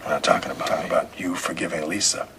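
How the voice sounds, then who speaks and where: An actor playing a calm, relentless police detective in an audio drama, questioning in a terse, methodical way. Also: male voice, sits low, very fast, in a whisper. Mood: probing, pressing. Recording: clear background noise and ambience.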